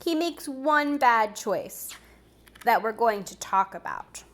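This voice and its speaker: A woman teacher reading a storybook aloud to young children expressively, pausing to explain and comment. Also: fairly high pitch, lively intonation, fairly bright tone, a little hiss or hum. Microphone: recorded on camera for a video.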